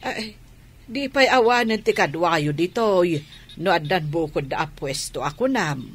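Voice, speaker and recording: A woman's voice: lowish voice, lively intonation, measured pace, breathy edge. A woman with an Ilocano accent acting an inquisitive, motherly village elder in a radio drama. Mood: curious, motherly.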